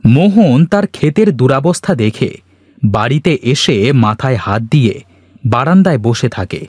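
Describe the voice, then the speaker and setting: A man's voice: mid-range voice, big pitch swings, normal speed, darkish tone, no room sound. A man reading scripted narration for a children's fairy tale.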